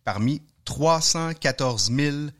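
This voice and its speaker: A man's voice: fairly high pitch, slow pace. A man, hosting a casual podcast chat, joking and laughing often. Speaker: podcast host.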